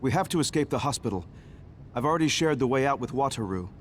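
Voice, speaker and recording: A man's voice: medium pitch, expressive, fast talker, slight background noise, lightly acted. Man playing a firm, commanding major general. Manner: firm, authoritative. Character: commanding, protective adult male major general. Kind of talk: scripted video game cutscene acting.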